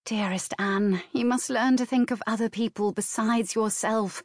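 A measured elder sister with dry wit, acted by a woman narrator. She lectures with gentle reproof, pitched somewhat high in a close, dry audiobook recording. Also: lively intonation, dry recording, lightly acted. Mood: gently lecturing.

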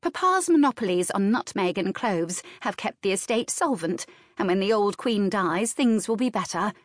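A spiteful young bride, played by a woman narrator, self-centred and indignant. The voice is lifted high and insistent, recorded dry for a historical audiobook. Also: animated, moderate pace, no echo, lightly acted. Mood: snappish.

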